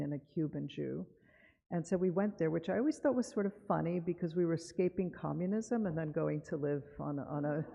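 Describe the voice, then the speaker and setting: A woman from Cuba speaks with a low, gravelly voice at an unhurried, conversational pace, pausing often on small fillers. Her mellow tone carries through a chapel with a long reverb tail, captured on a dull live broadcast feed. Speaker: author reading and speaking at a synagogue event. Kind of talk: live author talk with poetry reading. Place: synagogue chapel.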